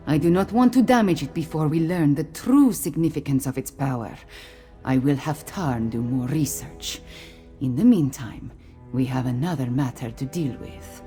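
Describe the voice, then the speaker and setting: A woman voicing a composed, regal cat-folk queen in a video game, speaking in a measured, formal manner. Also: low voice, dark tone. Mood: grave, commanding. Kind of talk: scripted video game dialogue.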